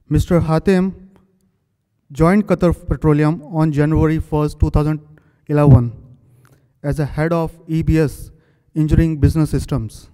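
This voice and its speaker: A man's voice: on the high side, dark tone, clear, resonant tone. A man hosting a technical talk, formally reading out the guest speaker's credentials in short phrases at a podium microphone. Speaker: event host introducing the speaker. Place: large conference hall. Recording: long hall reverb.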